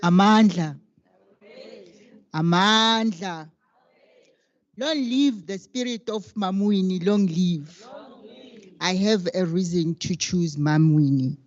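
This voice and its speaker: An older South African woman activist with a very deep voice, introducing herself on a panel in a slow, rousing, declamatory way. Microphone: handheld microphone. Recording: dull lo-fi sound.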